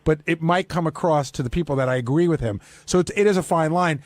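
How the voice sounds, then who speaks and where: A man's voice: a little high, fast, no echo. A man in a casual panel discussion as a co-host on talk radio. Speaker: radio show co-host and producer.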